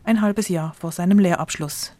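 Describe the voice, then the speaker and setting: A woman reporter giving scripted, measured narration for a news feature. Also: on the low side, dark tone, dry recording. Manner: measured, descriptive.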